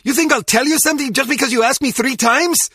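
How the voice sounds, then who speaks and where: A man's voice: extremely high pitch, quick pace, sharp tone, breathy edge, no room sound, clean studio sound, heavily acted. A man playing a self-important, indignant villain's henchman in a comic video game, fussy and defiant in manner.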